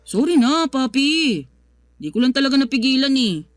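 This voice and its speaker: Feisty, sassy young adult. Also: female voice, sits high, sing-song, moderate pace, dry recording. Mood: apologetic, stubborn. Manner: sassy, teasing back-talk. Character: feisty, cheeky young adult child defending father. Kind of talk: radio drama acting. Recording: music underneath.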